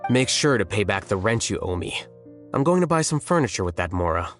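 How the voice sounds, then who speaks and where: A young man voicing a cool, smug, logical scholar in a video game, measured and deadpan. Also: fairly low pitch. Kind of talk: understated video game voice acting. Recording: studio voice over game music. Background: game music.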